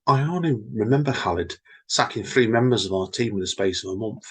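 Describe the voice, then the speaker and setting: A man co-hosting a story podcast, chatting casually and reading his own poem in a slow, reflective way. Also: on the low side, expressive, husky. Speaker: podcast co-host, poet. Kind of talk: casual chat and poetry reading.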